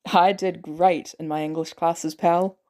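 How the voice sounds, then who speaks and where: A woman voicing a weary, guilt-ridden male former assassin in an audio drama, terse and hesitant, with dry, protective gruffness. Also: fairly low pitch, fairly even intonation, unhurried, darkish tone, close to an everyday voice. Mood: wry.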